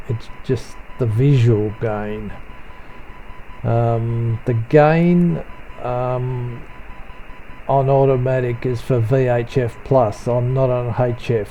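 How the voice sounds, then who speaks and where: A man giving an unscripted software tutorial voice-over in a low-key, hesitant, halting way. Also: low-quality recording.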